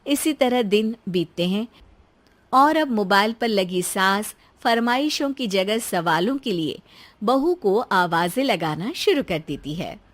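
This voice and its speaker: A woman's voice: mid-range voice, lively intonation, slow pace. A woman narrator reading a scripted moral story.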